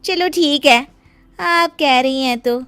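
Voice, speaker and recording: A woman's voice: high voice, takes it slowly, sharp tone, soft background music, heavily acted. A woman playing a spoiled young daughter-in-law in a story, with a disdainful, entitled manner. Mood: demanding, disdainful. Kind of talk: acted story dialogue.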